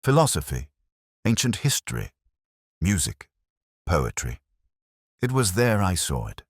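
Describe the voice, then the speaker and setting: A man with an extremely low voice narrates a detective mystery in the first person. His delivery is measured and brooding, with lively rises and falls through each literary phrase. The sound is dry and close, with a husky grain. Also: no echo.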